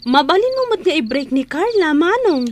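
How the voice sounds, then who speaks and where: An actress playing a candid, inquisitive younger sibling in a radio drama, questioning and concerned. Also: female voice, sits high, very animated, normal speed, a little hiss or hum. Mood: curious, concerned. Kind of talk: Ilocano radio drama acting.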